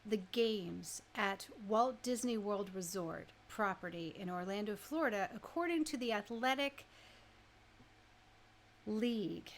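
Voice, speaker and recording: A woman radio host chatting casually and informally, thinking out loud as she shares her opinions. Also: mid-range voice, slight background noise.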